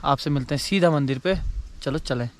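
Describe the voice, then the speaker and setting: A man with a hoarse voice, chatting casually to the camera as a vlogger while walking to a temple. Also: on the high side, fairly quick, noisy background. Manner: chatty, talks straight to the camera. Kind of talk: casual vlog chat.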